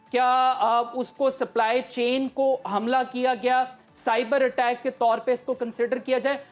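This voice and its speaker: A woman with a Pakistani accent speaks in a fairly high voice. She delivers live political commentary as an analyst, explaining each point with emphasis, with music underneath. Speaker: analyst on a current affairs show.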